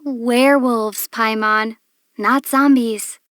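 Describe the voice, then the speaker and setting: Game heroine: young traveler, high-pitched, measured and patient with a gently dry wit. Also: female voice, fairly even intonation, no room sound. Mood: amused. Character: young female adventurer, patient, thoughtful, dryly amused. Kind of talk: video game character voice acting.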